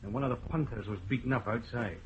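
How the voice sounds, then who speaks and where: An actor playing a dry, understated police chief inspector in a radio drama. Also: male voice, mid-range voice, quick pace, slightly rough. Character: weary, dry-humoured police detective chief inspector, man. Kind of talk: 1970s radio drama acting. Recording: dull lo-fi radio play recording.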